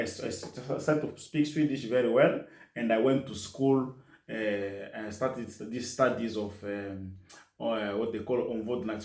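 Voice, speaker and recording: Earnest man, roomy echo. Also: medium pitch. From Congo. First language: French. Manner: earnest, with repeats and restarts. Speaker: interview guest. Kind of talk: casual storytelling interview.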